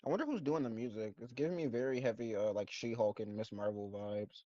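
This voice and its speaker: A man riffs on a show in loose, slangy chatter, often breaking into laughter. His voice sits mellow and dark. The recording is dry and somewhat muffled. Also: on the low side, fairly quick, no echo. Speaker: co-host of a reaction channel. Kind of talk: casual reaction chat.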